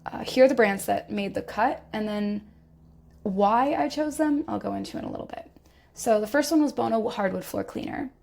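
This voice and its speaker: A woman's voice: medium pitch, moderate pace, darkish tone. A woman hosting a podcast in a conversational way, her voice scratchy from a recent illness and a lingering cough. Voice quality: scratchy, gravelly voice. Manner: conversational, with fillers and self-corrections. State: recovering from illness, slight cough. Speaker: podcast host. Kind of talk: casual informational podcast.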